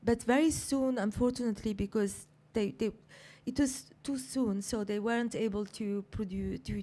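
A middle-aged woman from Somalia, speaking on a writers' panel with a handheld mic, thoughtful and halting with many fillers. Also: medium pitch, warm tone. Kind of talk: reflective panel talk. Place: seated on a couch in a lecture room.

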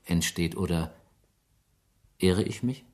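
An actor playing a calm, probing police inspector in a German radio play, questioning politely and deliberately. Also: male voice, low-pitched. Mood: politely firm.

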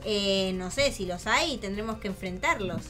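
A woman's voice: medium pitch, normal speed. A young woman with an Argentine Spanish accent, chatting playfully and expressively with a friend as she plays a game. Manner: expressive, exclamatory, teasing. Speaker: gaming YouTuber. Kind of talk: playful gameplay chat with a friend. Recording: voice over background music. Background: background music.

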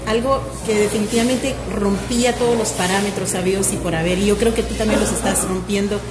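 A woman's voice: mid-range voice, fairly even intonation, brisk, reverberant, heavy background noise. A woman host interviewing a guest, encouraging and effusive. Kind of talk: interview questions.

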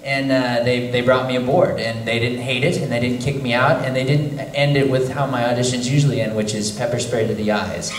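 A man's voice: medium pitch, steady tone, fairly quick, hardly any pauses, slight background noise. A man joking his way through his career story at a convention panel. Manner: joking, playful. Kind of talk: casual panel talk. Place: large convention hall. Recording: echoey hall, voice from far.

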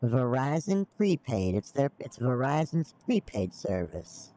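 A man's voice: mid-range voice, very animated, a little slow, warm tone. A middle-aged man on a live-streamed scam call, folksy and feigning ignorance as a naive mark. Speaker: scambaiter playing a naive mark.

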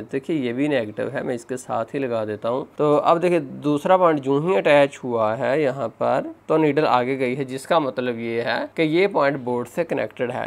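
Creaky-voiced man teaching. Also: medium pitch. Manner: step-by-step explaining, often addressing viewers as friends. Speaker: phone repair instructor. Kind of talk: hands-on tutorial narration.

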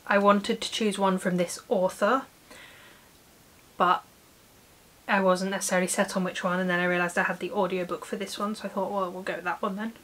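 A woman's voice: mid-range voice, a bit bright. A woman in her late twenties with a British accent, chatting casually and informally to camera as a book vlogger in a room at home. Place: small home room with bookshelves. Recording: clear background hiss.

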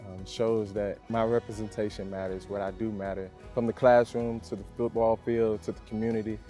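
A young man from Louisiana with a low, mellow voice, speaking confidently and upbeat. He is interviewed outdoors in a garden. Faint music plays underneath. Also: flat delivery, a little fast. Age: young adult. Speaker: homecoming king.

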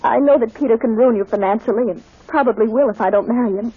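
Determined young daughter: brisk, polite, slightly high, vintage radio. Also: female voice, husky, no echo. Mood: firm. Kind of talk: 1940s radio drama acting. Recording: muffled old radio recording.

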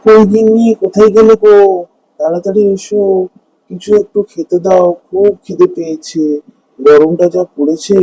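Man voicing humble cartoon farmer, high male voice, mellow, earnest, coaxing, hard-working and kind. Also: steady tone, moderate pace, husky, no echo, rough recording. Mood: tired, calling out. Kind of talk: Bengali animated fairy-tale dialogue.

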